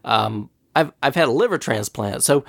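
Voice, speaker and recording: Podcast host guy with a cold, kinda nasal, gravelly voice, bouncy pitch, chatty, laughs a lot. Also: moderate pace, dry recording, clean studio sound. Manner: chatty storyteller, laughs often, animated retelling. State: has a cold, nasal and scratchy. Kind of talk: casual podcast chat.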